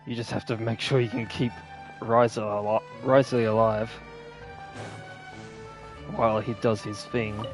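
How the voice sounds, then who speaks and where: Slow-paced man casually rambling about gaming. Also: fairly low pitch, flat delivery, over music. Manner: rambling, offhand. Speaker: gaming video host. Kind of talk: casual unscripted gameplay commentary.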